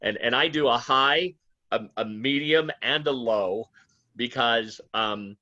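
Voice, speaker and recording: A man with a sharp, bright voice chimes in during a real estate class, halting and repeating himself as he talks. The sound is dry and dull, like a lo-fi call. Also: medium pitch, no room sound. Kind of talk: class discussion comment.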